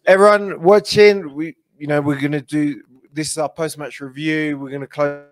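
A man's voice: fairly high pitch, steady tone, normal speed. A man in his thirties hosting a casual football show, upbeat, who reads viewer comments aloud. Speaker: show host. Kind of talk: casual live-stream chat. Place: small indoor studio with a backdrop.

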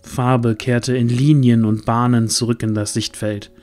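A man's voice: medium pitch, flat delivery, a little slow, darkish tone. Male fantasy audio drama narrator.

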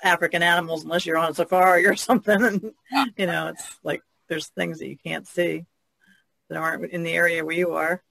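A man's voice: a little high, level tone, brisk, creaky, pushed and bright, no room sound, low-quality recording. A man taking part in a casual podcast chat as a panelist.

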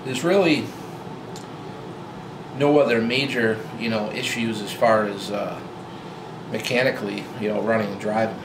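A man in his forties talking casually to camera about his old truck, relaxed and rambling with lots of fillers and restarts, filmed in a garage. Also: on the low side, moderate pace, long pauses. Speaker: vlogger. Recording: roomy garage with loud hum.

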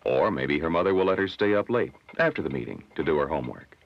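An American man narrating a scripted 1960s educational film in a measured, instructive manner. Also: a bass voice, sing-song, creaky. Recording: dull, lo-fi old film soundtrack.

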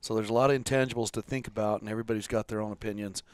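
A man's voice: fairly low pitch, fairly even intonation, racing, darkish tone, clean tone, dry recording. A middle-aged man co-hosting a podcast in a casual, bantering way on a headset mic. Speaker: podcast co-host. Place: small wood-panelled room, around a table.

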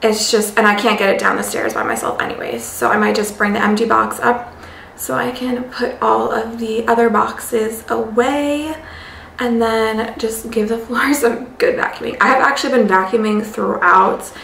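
A woman's voice: fairly high pitch, normal speed, gravelly, a bit bright, roomy sound, far from the mic, a little hiss or hum. A young woman vlogging at home, talking casually to camera with many "like" fillers. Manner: chatty, loose, many "like" fillers. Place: home living room, indoors.

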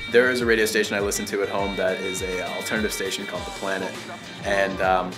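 A man's voice: low-pitched, flat delivery, fast talker. A young man talking casually in a documentary interview. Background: guitar music.

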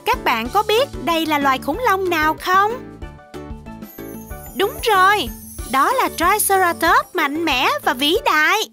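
A woman's voice: extremely high pitch, lively intonation, measured pace, sharp tone. A woman narrating a children's cartoon, exclaiming and putting questions to young viewers. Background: children's music.

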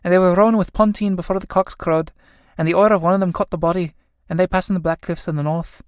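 A woman's voice: fairly low pitch, fairly even intonation, fast, a little mellow, no echo, studio quality, voice pushed up, close to an everyday voice. A woman playing a worried young Irish girl, a younger sister in a stage drama, earnest and plain-spoken.

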